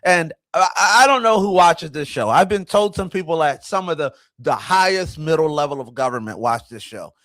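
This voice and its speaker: A man's voice: on the high side, animated, normal speed, few pauses, a bit bright, no room sound. A man, hosting a casual livestream, rambling and greeting his chat as he goes. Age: 40s. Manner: rambling, talks to chat, self-correcting. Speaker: livestream host.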